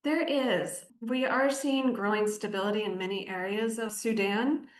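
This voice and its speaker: A woman giving measured, articulate explanations as an aid director interviewed on the radio. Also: mid-range voice. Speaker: aid organisation country director, interview guest. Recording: roomy, echoing sound.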